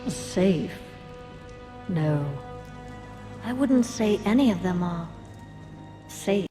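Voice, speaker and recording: An actress playing a cold, commanding, bitter villainess in a game cinematic, sardonic and deliberate. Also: female voice, medium pitch, animated, dark tone. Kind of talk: dramatic game cinematic acting. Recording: long reverb under cinematic music.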